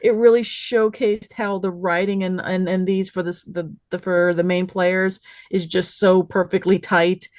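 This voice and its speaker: A woman's voice: lowish voice, level tone, a little slow, few pauses, a little mellow, no room sound, clean studio sound. Effusive woman in casual chat. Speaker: podcast panelist.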